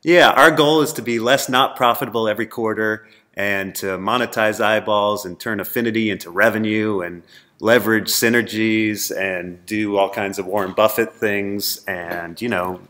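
A man's voice: lowish voice, steady tone, normal speed, a bit bright, natural voice. A man in his thirties playing a pompous, irritable, easily flustered startup boss in a comedy, speaking in an office. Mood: evasive. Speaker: actor as startup chief executive. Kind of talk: scripted mockumentary comedy, livestream address. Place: open-plan office, indoors.

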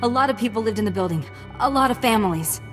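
Actress as worried messenger lady in a game, rushed, highish voice, music behind. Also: lively intonation, close to an everyday voice. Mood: urgent. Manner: urgent. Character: urgent, worried female town messenger. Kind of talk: video game voice acting.

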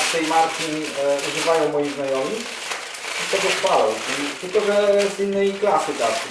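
A man in his forties chats excitedly to camera while trying on motorcycle gear. He sits at a table in a small room, and the distant camera mic makes him sound roomy and echoey. Clicks and handling noise carry clearly behind him. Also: a little high. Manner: chatty, enthusiastic, thinking aloud while handling gear. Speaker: motorcycle vlogger. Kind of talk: casual unboxing vlog.

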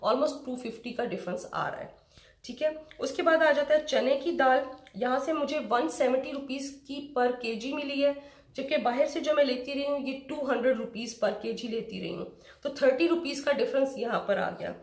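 A woman's voice: high-pitched, moderate pace, roomy sound. A middle-aged woman chatting through a shopping vlog, explaining prices step by step. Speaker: vlogger. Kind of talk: casual shopping vlog chat. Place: home room with shelves, indoors.

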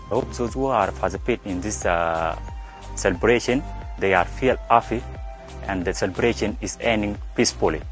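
A young student from South Sudan talks outdoors on a campus in a somewhat low voice. His phrasing is simple and plain. Music plays softly behind him. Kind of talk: interview answers.

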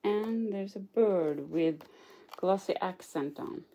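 Slow, mellow Norwegian woman showing crafts. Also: medium pitch, slight background noise. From Norway. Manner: chatty, hesitant, apologetic, giggles now and then. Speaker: craft channel host. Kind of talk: casual show-and-tell of a scrapbook album. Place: indoors at a craft table.

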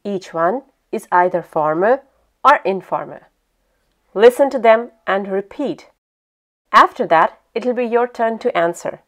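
A woman hosting a language lesson, reading a scripted narration in a clear, steady way. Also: mid-range voice, a little slow. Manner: clear, steady instructional delivery.

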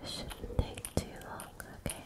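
A young woman playing a gentle, reassuring female alien who repairs a patient, in a soft, breathy ASMR roleplay whisper. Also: close to an everyday voice. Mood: soothing. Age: young adult. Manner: soft, soothing, unhurried.

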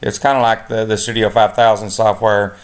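A man giving a step-by-step software lesson over a screen recording, relaxed, with many filler sounds. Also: on the low side, brisk. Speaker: instructor.

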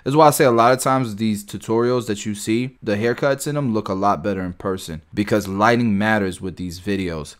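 A man in his thirties, a barber, giving casual slangy commentary on a haircut tutorial from his desk. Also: fairly low pitch. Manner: relaxed, slangy, thinking aloud. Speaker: barber reacting to a tutorial video. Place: home studio desk.

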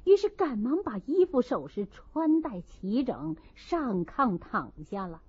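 A woman's voice: on the high side, very animated, unhurried, a little mellow, husky, lo-fi sound. A woman narrating a classic novel as a dramatic audiobook.

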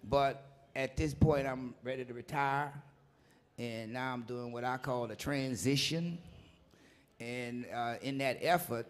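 A man, a vocalist telling his story in a relaxed, plainspoken way at an onstage interview. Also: mid-range voice, a little slow. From New Orleans. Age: 50s.

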